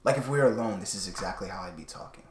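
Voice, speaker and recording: A man's voice: rapid-fire, reverberant, a crowd in the background. Casual young man co-hosting a podcast. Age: young adult. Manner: shifts between loud projected voice and quiet low talk. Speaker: podcast co-host. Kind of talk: casual chat.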